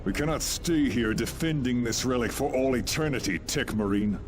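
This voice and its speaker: A man's voice: mid-range voice, normal speed, close to an everyday voice. A man playing a grim, pragmatic space marine officer in a video game, with terse military delivery. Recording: noisy artillery and gunfire.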